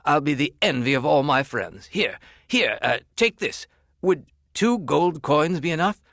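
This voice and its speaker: A man performs a wealthy storybook gentleman, full of admiration. He speaks with generous enthusiasm and wide swings of pitch, close and dry. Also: big pitch swings, measured pace, gravelly, dry recording, full character voice. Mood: admiring, eager.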